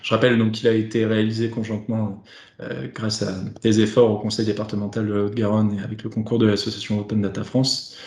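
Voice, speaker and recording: A man's voice: fairly low pitch, level tone, quick pace, a little mellow. A man hosting an online webinar in a semi-formal, wordy and hesitant way, speaking French over a video call. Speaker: host and facilitator of an online webinar. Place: remote video conference. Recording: roomy, echoing online call.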